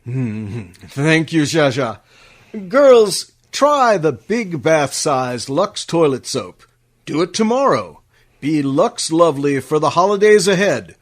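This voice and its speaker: An actor playing a flattering soap-ad announcer, effusive and emphatic in 1950s radio commercial style. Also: male voice, fairly high pitch, takes it slowly, exaggerated voice. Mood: salesy, upbeat.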